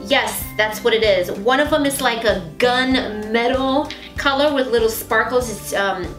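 A bubbly woman gushes over beauty products in a home vlog. Her voice sits fairly high and carries a roomy echo. A music bed plays underneath. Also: a little slow. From Romania. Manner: bubbly and gushing, with squeals. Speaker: beauty vlogger. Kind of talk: unboxing haul vlog. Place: small bright indoor home studio.

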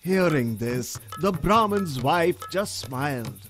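A man's voice: fairly high pitch, animated, slow, music in the background. A man narrating a scripted children's story in a dramatic storytelling manner.

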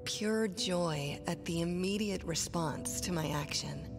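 A slow, brooding, haunted wife. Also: female voice, on the low side. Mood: wistful. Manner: brooding, deliberate. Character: haunted, bitter, resolute wife hidden in a house. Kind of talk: horror game trailer narration. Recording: loud trailer music over the voice.

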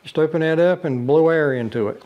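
A man's voice: a little high, brisk, muffled recording. Folksy older man demoing a gadget. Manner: plain, folksy, with frequent uh and restarts. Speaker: product reviewer. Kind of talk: casual product demo. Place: living room with a brick wall, indoors.

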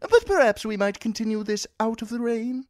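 A man voicing a smooth, courteous young nobleman who speaks in a formal, overly polite way. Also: extremely high pitch, big pitch swings, normal speed, no echo, clean studio sound, pitched above the performer's own voice. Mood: courtly.

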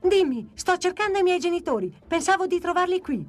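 An eager young dinosaur chatters in a high, bouncy voice that leaps all over the place, voiced by a woman. It sounds childlike and hopeful. Soft music runs underneath. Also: expressive, brisk. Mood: pleading. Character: young, eager little dinosaur searching for parents. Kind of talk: Italian cartoon dub. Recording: music faintly under dialogue.

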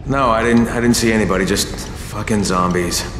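An actor playing a blunt, driven survivor running errands in a video game cutscene. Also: male voice, lowish voice, brisk, over loud music, lightly acted. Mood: agitated. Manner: blunt, sweary. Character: gruff, driven undercover runner hero, adult man.

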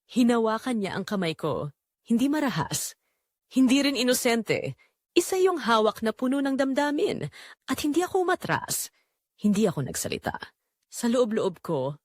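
A woman playing a guilt-torn, longing middle-aged mother-in-law, narrating an audio drama in deliberate, emotive first-person phrases. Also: fairly high pitch, no room sound, clean studio sound.

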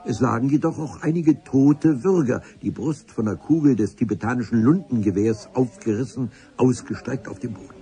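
An actor playing a grandiloquent chronicler, narrating a radio adventure in a solemn, florid, measured manner. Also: male voice, mid-range voice, warm tone, close to an everyday voice.

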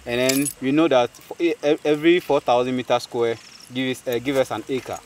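A man's voice: medium pitch, steady tone, a little fast, darkish tone, slight background noise. A man in his thirties with a Ghanaian accent, a farmer patiently explaining crop stages outdoors in a field. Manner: patient, explanatory, checks understanding. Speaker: maize farmer. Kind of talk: explaining farming in an interview. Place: open farm field outdoors. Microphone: host's handheld phone.

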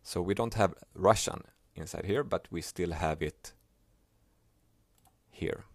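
Low gravelly guy, swedish native, slow careful screen tutorial, dry close sound. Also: no echo. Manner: slow, plain step-by-step explaining with pauses. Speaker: software tutorial maker. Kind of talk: screen-recorded software tutorial.

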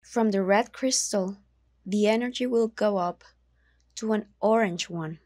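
Slow-paced woman guiding a meditation. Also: medium pitch, a little mellow, dry recording, clean studio sound. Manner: soothing, slow, measured instructions with long pauses. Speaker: meditation guide. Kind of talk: guided meditation narration.

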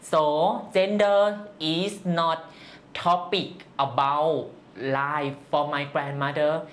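An interview guest from northeast Thailand with a Thai accent, giving personal answers in halting, simple non-native English. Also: mid-range voice, fairly even intonation.

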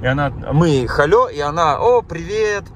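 A man's voice: on the high side, lively intonation, moderate pace, noisy background. A man born in Kazakhstan who has lived in Germany, chatting casually with his viewers while driving, often exclaiming at what he sees. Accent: Russian speaker from Kazakhstan and Germany. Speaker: vlogger. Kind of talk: casual driving vlog chat. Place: car driving through town.

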